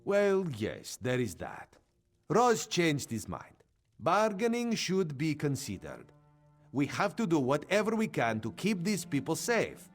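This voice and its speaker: A man's voice: a little high, huge pitch swings, faint music behind, heavily acted. A man voicing a formal cat-folk spy. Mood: reasoning. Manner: refers to himself by name, formal and measured. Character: sly male cat-folk spy speaking of himself in third person.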